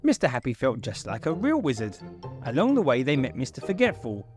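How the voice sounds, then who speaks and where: A man reading a children's story aloud as an expressive storyteller. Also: mid-range voice, fairly quick, music in the background.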